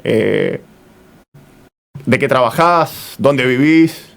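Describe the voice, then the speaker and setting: A man's voice: medium pitch, animated, very slow, gravelly, a bit bright. A man with an Argentine accent speaking as an interview guest, reflective and searching for his words as he goes. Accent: Argentine Spanish. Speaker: interview guest, returned traveller.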